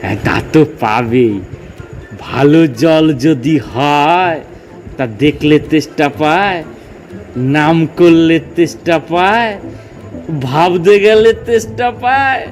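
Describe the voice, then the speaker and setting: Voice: medium pitch, slow pace, soft background music, natural voice. A performer in a comic audio drama playing cantankerous, boastful village men who quibble pedantically and argue emphatically. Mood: boastful, savouring. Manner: argumentative, emphatic, rambling lists.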